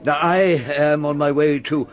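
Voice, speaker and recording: An actor playing a gentle, absent-minded elderly clergyman in a radio drama, hesitant and stammering. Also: on the high side, flat delivery, normal speed, natural voice. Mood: muddled, apologetic. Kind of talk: BBC radio drama acting.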